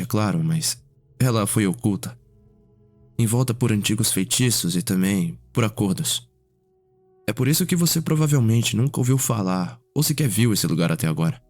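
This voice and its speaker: Male, low voice, ASMR roleplay as Cedric-type vampire noble: reflective, unhurried storytelling over music. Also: darkish tone.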